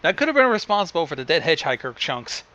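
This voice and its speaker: A man's voice: fairly high pitch, very animated, fast talker, sharp tone, muffled recording. A man chatting casually as a co-host, throwing in jokey, sweary comments. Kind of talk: casual chat.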